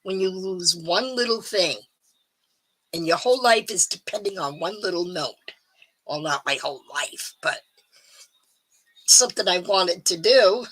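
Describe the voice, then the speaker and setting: A middle-aged woman hosting a live stream, chatting casually and rambling with ums, a little hoarse. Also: lowish voice, lively intonation, a little slow, long pauses, fairly bright tone, rough recording. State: slightly hoarse. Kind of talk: casual chat to viewers.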